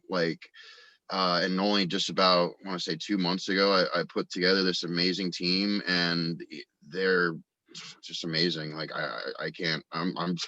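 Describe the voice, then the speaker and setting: Bright, forceful low-voiced man, dull dry recording. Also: no echo. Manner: rambling, profane storyteller, frequent "you know". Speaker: podcast guest, audio drama writer. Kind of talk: casual chat, anecdotes.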